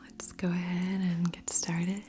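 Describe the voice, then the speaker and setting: A woman speaking in a soft, breathy whisper as a gentle, soothing host of an ASMR guided meditation. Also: fairly low pitch, completely flat delivery, measured pace, slight background noise. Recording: soft music under voice. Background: background music.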